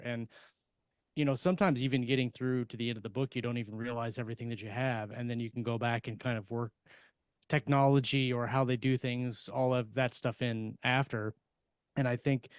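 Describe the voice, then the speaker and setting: A man in a casual podcast discussion, thinking aloud in a halting, rambling way with frequent restarts. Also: medium pitch, smooth, no echo. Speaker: podcast host and author.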